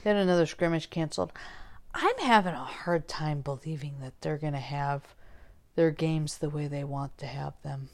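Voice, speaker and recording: Loud, animated woman chatting. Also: sits low, normal speed. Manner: animated, laughs often, loud. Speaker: podcast co-host. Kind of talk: casual chat.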